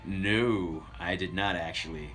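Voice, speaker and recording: Deep-voiced man rambling on a livestream. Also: measured pace, fairly bright tone. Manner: rambling, chatty, addressing viewers. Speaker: livestream host. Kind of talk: casual livestream storytelling. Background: background music.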